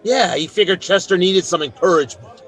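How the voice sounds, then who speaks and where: An actor playing a plainspoken, fair-minded frontier marshal with authority in a radio western. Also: male voice, high voice, fairly quick, fairly bright tone. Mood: flustered, amused. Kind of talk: old-time radio western acting.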